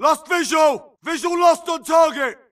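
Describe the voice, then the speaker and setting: An actor playing an urgent infantry grunt in a video game, barking clipped combat callouts. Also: male voice, very high-pitched, unhurried, fairly bright tone, far from the mic. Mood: urgent alarm. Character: gruff, urgent infantry grunt soldier in battle. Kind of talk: shouted combat barks.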